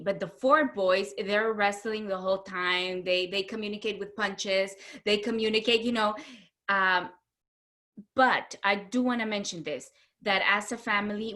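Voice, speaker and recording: A middle-aged woman from Honduras speaks in a bright, forceful voice. She tells things in an animated, conversational way, stressing her points and slipping into quoted speech as an interview guest. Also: mid-range voice. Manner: emphatic, acts out quoted speech. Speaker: interview guest, homeschooling mother.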